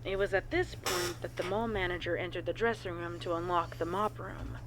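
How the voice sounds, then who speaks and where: Woman narrating over clear background noise. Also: on the low side, fairly even intonation, normal speed. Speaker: audio story narrator. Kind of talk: scripted narration of a horror fan fiction report.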